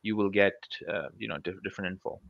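A man's voice: deep voice, fairly even intonation, fast talker, vocal fry, highs cut off, crowd noise. A man in his thirties, a guest researcher on a video call interview, speaking thoughtfully with many restarts and fillers. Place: small room at home. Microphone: headset.

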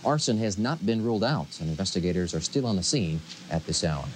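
A man's voice: low-pitched, animated, brisk, slight background noise. A young man anchoring a television newscast from a studio. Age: young adult. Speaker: news anchor. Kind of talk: newscast delivery.

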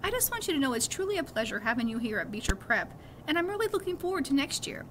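A woman's voice: high voice, very animated, quick pace, noisy background, voice pushed up. A middle-aged woman voicing a kindly, amused male school principal in a storybook, cordial and emphatic.

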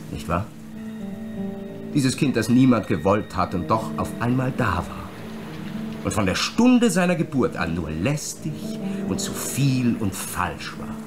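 An actor playing a menacing, brooding film director in a radio drama, an abandoned son who accuses with insistent rhetorical questions. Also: male voice, mid-range voice.

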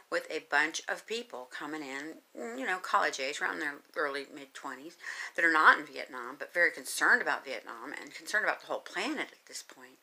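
An older woman talks into a phone held at arm's length in a small bedroom, loosely recounting her past with plenty of ums and you-knows. Her voice sits low yet carries a bright, forward edge. She speaks casually and gestures as she goes. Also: low-quality recording. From United States. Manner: rambling, many ums and you-knows, animated hand gestures. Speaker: vlogger telling her life story. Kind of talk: casual storytelling to camera. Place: small carpeted bedroom indoors. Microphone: phone camera at arm's length.

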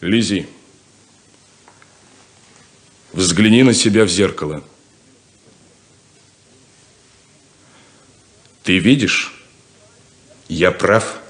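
An actor playing a stern, blunt, domineering older brother in a 1970s Soviet radio play. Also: male voice, low voice, roomy sound. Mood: harsh, insistent. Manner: domineering, deliberate, lecturing.

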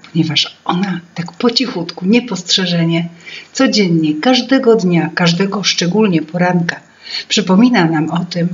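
A woman in her fifties narrating a children's fairy tale in an expressive, theatrical, deliberate way. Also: on the low side, dull audio. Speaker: storyteller. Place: indoor room with paintings.

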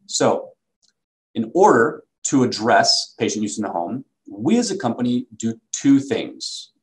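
A man's voice: medium pitch, very animated, unhurried, slight background noise, rough recording. A man presenting for a medical device company in a sales talk over slides, recorded on a video call indoors.

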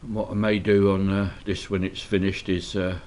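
Deep-voiced man with a stuffy cold. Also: moderate pace. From Scotland. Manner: relaxed, hesitant, many ums and uhs. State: slightly congested from a cold. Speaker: miniature painting tutor. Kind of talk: casual hobby tutorial narration. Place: indoor hobby desk.

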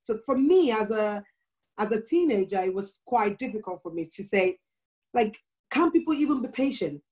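A woman's voice: medium pitch, expressive, moderate pace, a little mellow. A woman from Nigeria with a Nigerian accent, speaking as a guest on a video call panel in an earnest storytelling way.

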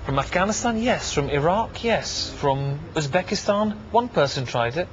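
A Scottish man with a slightly high voice is stopping strangers on a busy city street, quick-witted and teasing. Traffic rumbles loudly behind him, and the recording sounds a little dull and muffled. He asks questions with playful mischief. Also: expressive. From Scotland. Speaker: TV presenter interviewing people on the street.

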